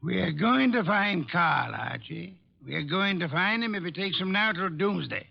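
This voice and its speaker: A dull, lo-fi radio recording of a brilliant master detective. His gravelly voice sits fairly high, and he hands out orders in deliberate, exacting phrases, stubborn and fully in command. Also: lively intonation, full character voice. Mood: commanding, resolute. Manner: deliberate, authoritative, precise phrasing. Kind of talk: old-time radio drama acting.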